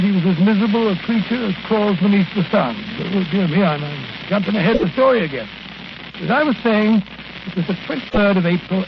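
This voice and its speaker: Man acting a high-voiced, blustery old English doctor. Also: lightly acted. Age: older. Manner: chuckling, stammering, rambling. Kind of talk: 1940s radio acting. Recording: muffled, hissy 1940s radio recording.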